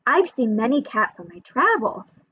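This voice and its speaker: A woman voicing a well-traveled, caring marigold flower lady in a steady, reassuring read. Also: a little high, moderate pace, breathy edge, highs cut off, exaggerated voice.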